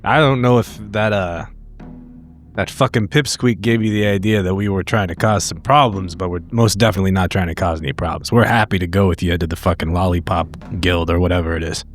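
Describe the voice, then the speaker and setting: Low-voiced man, blunt tabletop player. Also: a little fast. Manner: blunt, sweary. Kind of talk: casual in-game banter and role-play. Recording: music behind. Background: clear background music.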